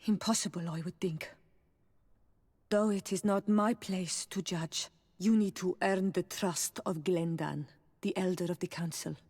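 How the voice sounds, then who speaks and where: An actress playing a proud, helpful island princess in a video game, speaking in a solemn and measured way. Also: female voice, fairly low pitch, fairly even intonation, a little mellow, breathy edge. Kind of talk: dramatic video game acting. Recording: long hall-like reverb.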